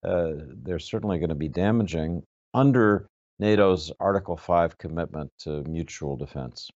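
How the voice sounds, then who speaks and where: Low-voiced, deliberate male interviewer. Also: a little mellow. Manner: deliberate, hesitant phrasing. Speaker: interviewer, moderator. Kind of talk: measured, formal questioning. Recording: dull, lo-fi audio.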